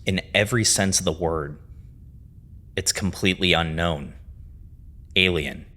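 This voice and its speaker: A man delivers dramatic scripted storytelling narration, phrasing deliberately with weighty pauses. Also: sits low, bright, forceful tone. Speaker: narrator and host of a horror series review.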